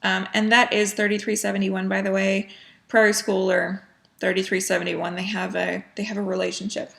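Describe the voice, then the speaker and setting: A woman's voice: mid-range voice, flat delivery, brisk, creaky. A woman chatting casually as a cross-stitch vlogger, a little sniffly from a cold, with lots of ums and small laughs. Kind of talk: casual chat.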